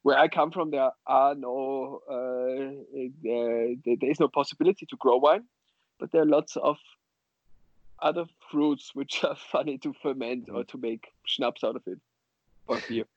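An Austrian-accented man, a native German speaker, chatting casually as an interview guest in a halting way over a dull remote connection. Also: mid-range voice, no room sound. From western Austria. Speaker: guest, sommelier and wine bar co-owner.

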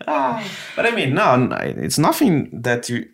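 A man's voice: a little high, sing-song, normal speed, fairly bright tone. Brazilian man chatting casually. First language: Portuguese. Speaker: podcast guest, comedian and actor. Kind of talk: casual conversation.

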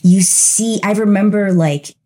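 A woman from Brazil co-hosting a podcast, talking in a reflective, animated way with frequent fillers. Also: medium pitch, unhurried. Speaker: podcast co-host. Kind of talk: casual conversational podcast chat.

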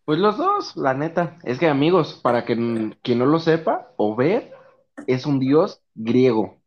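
A man's voice: mid-range voice, expressive, a little slow, highs cut off. A young man with a Mexican accent chatting casually as a podcast co-host, slangy, profane and playful. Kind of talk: casual joking chat among friends.

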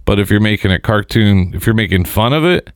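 A man's voice: fairly low pitch, expressive, a little fast, dry recording, studio quality. A man chatting casually and bluntly in a joking, opinionated group conversation, as a co-host.

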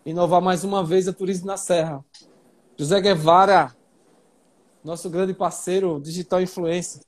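High-voiced enthusiastic livestream host. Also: male voice, normal speed. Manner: enthusiastic, animated introductions. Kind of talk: live interview hosting.

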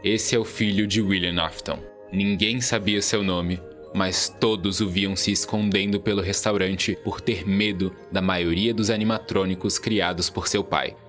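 A man's voice: deep voice, fairly even intonation, measured pace, fairly bright tone, faint music behind. A man reading scripted narration as the storyteller of an audio drama.

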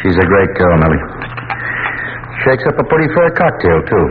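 A man's voice: sits low, sing-song, normal speed. A dry, joking middle-aged father just back from the war, his voice swinging up and down as he rambles. He sounds tinny, from an old radio broadcast with a light hiss behind him. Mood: wry. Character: wry middle-aged bank man, tipsy returning sergeant. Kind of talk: 1940s radio acting.

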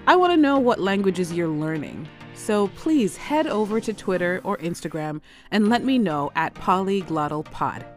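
A woman hosting a solo language-learning podcast, chatty and quick to laugh, slipping Portuguese phrases into her talk. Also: medium pitch, expressive, soft background music. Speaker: podcast host.

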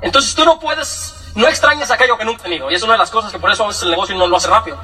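Young Mexican-accented man, high bright voice, comic storyteller at a talk, heard from a distance. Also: racing. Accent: Mexican Spanish. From Michoacán, Mexico. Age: young adult. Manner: joking, animated, acts out quoted voices. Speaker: speaker giving a testimony.

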